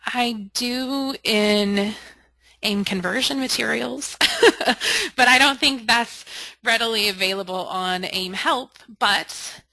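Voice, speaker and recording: A woman's voice: on the high side, takes it slowly, creaky, pushed and bright, dry recording, low-quality recording. A woman leading an informal live training webinar, reading out and answering questions candidly while thinking aloud. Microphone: conference call or webinar audio.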